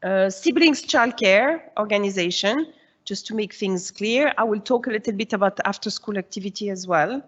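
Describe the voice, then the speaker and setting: A woman's voice: mid-range voice, moderate pace. Woman, 40s, from Switzerland, school head presenting to parents, reassuring, fillers, slightly muffled sound. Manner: explanatory, reassuring, with frequent "uh" and "um". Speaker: head of early years at a school.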